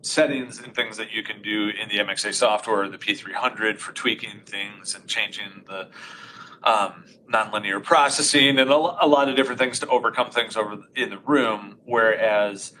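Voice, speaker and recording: A man with a forceful, bright voice that sits a little low walks through a live equipment demo in a conference room. He talks loudly and explains things step by step. Faint background noise sits under the room sound. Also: rough recording. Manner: loud, talkative, explains step by step. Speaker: audio installer demonstrating conferencing setup.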